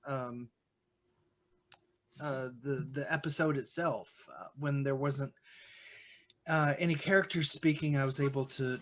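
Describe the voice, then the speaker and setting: A man's voice: medium pitch, poor audio. A man giving a hesitant, casual answer on a video call panel about his sound work. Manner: hesitant, halting. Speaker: sound and music sourcer. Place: remote group video call.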